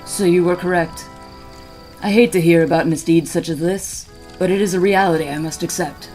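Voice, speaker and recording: A man's voice: fairly high pitch, steady tone, moderate pace, a little mellow, soft background music, lightly acted, low-quality recording. Man as humble, courteous fantasy healer. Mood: earnest, modest. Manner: formal, polite. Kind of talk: fantasy audio drama acting.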